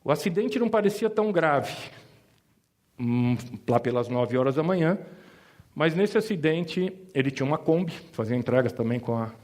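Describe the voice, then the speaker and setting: A man's voice: fairly high pitch, animated, normal speed, a little mellow. A middle-aged man with a Mooca accent from São Paulo tells a personal family story to an audience, with joking asides. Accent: São Paulo Italian-influenced (Mooca). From Brazil. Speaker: insurance advisor giving a talk.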